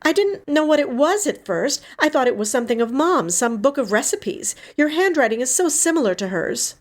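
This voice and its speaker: Woman performing a grieving older sister, higher pitch, emotional, pleading, resolute, protective, audiobook drama. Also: big pitch swings, moderate pace. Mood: halting, distressed. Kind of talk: dramatic audiobook character dialogue.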